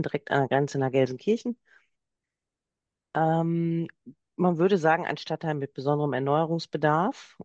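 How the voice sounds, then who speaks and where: A woman's voice: deep voice, a little mellow, dry recording, highs cut off. A woman in her fifties from Germany, a guest on a podcast, telling at length about her work as a therapist and practice owner. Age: 50s. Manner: chatty, explains at length. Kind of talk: podcast interview.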